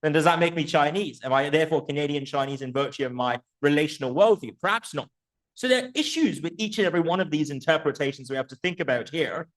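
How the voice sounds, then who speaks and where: Higher-pitched man giving an articulate academic lecture. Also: fast, poor audio. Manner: articulate, erudite, rhetorical, checking in with "right?". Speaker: academic presenting a book talk. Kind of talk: academic lecture with rhetorical questions.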